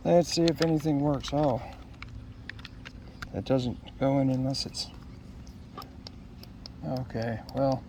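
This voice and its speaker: A man's voice: mid-range voice, dark tone. Man musing aloud over noisy background. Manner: halting, musing aloud to himself. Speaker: product unboxer. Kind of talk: casual unscripted unboxing narration.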